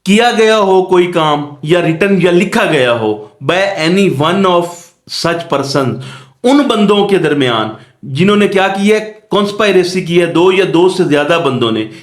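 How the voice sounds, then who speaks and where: A man's voice: high-pitched, animated, measured pace. A man with a Pakistani accent teaching law in a didactic question-and-answer manner, mixing in English legal terms. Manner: didactic, asks rhetorical questions and answers them. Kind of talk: lecture explaining a statute. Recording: echoey room.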